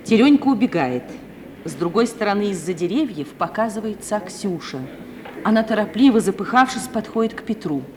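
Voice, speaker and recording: A woman's voice: medium pitch, animated, fairly quick, a little mellow. A woman narrating scripted stage directions for a radio play. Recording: hissy 1950s radio recording.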